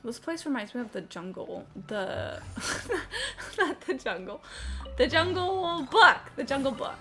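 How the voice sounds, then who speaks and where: A woman's voice: high-pitched, big pitch swings, unhurried, a bit bright, soft background music. A woman chatting casually as she streams a game, swearing and rambling as she thinks aloud. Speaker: live streamer. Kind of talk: casual gameplay stream chat.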